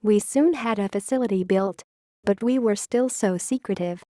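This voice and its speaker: Mellow, close-miked woman playing a grave scientist confessing. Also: mid-range voice, moderate pace, dry recording, close to an everyday voice. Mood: wistful, earnest. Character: male founder scientist confessing his secret organization's history, wistful and grave. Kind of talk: scripted monologue, audio log narration.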